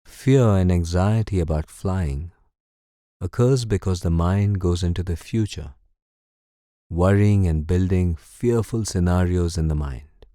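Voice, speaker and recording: Slow, deep, mellow male meditation guide. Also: dry recording, studio quality. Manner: calm, soothing, measured.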